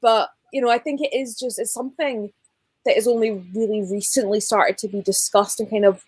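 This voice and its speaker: A woman with a moderately high voice chats about books as a guest. Her talk is loose and rambling, full of quoted bits. The recording is close and dry. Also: fairly even intonation, fairly quick, breathy edge, no echo. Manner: rambling, informal, frequent quoting. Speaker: guest on a book readalong livestream. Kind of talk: casual group book chat.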